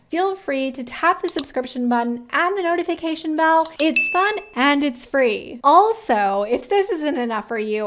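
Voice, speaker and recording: Female career advice host, higher voice, informal and opinionated commentary delivered straight to the viewer. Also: a bit bright. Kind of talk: chatty direct-to-camera commentary.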